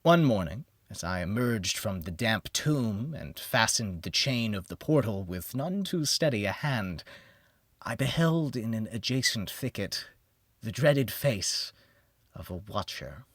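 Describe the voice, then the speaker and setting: A man narrating a gothic horror audiobook in a theatrical manner that moves between hushed near-whispers and heightened exclamation. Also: on the low side, big pitch swings, measured pace, no echo, studio quality. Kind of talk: dramatic scripted horror narration.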